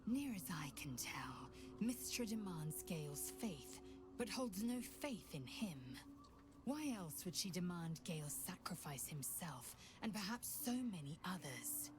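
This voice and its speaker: A woman's voice: lowish voice, sing-song, unhurried, over music. A woman playing a proud, scornful alien warrior who speaks formally in a video game. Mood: stern, scornful. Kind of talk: video game character acting.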